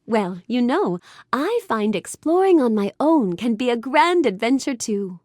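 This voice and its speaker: A woman playing a sweet, curious, bookish young fairy-tale heroine in a video game, speaking with gentle, courteous wonder. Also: fairly high pitch, unhurried, no echo, clean studio sound. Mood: warm, encouraging. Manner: gentle, wonder-filled, courteous, expressive delivery. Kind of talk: animated game character dialogue.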